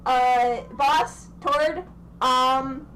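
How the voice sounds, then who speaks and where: A woman voicing a nervous underling who reports to the boss in a halting, reassuring way. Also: high voice, steady tone, fairly bright tone, slight background noise, voice pushed up, heavily acted, low-quality recording.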